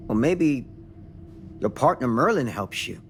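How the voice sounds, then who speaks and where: A dogged American lawman in a cinematic game. He has a mid-pitched voice and speaks calmly, with dry, probing skepticism, over faint background noise. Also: measured pace, gravelly. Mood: dryly probing. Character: calm, dogged small-town lawman, dryly skeptical. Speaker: investigating lawman. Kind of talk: cinematic video game acting.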